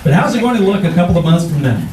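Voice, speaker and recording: Male persuasive radio pitchman, friendly, old-time ad read, echoey, far from the mic. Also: very animated, very fast, dark tone. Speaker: commercial pitchman. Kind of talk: 1940s radio advertisement, scripted. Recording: roomy distant stage pickup, faint noise.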